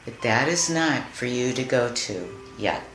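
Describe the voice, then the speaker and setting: A woman leading a guided meditation in a soothing, deliberate manner, leaving long pauses between phrases. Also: extremely low pitch, animated, fairly bright tone, a little hiss or hum. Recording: roomy echo with music behind. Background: music.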